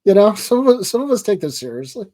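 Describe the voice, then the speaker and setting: A man's voice: sits high, sing-song, quick pace, warm tone. A man in his thirties with a Baltimore accent, hosting a casual interview over a video call from a home office, jokey and chatty, stumbling over his words now and then. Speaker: host. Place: home office with framed pictures. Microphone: webcam video call.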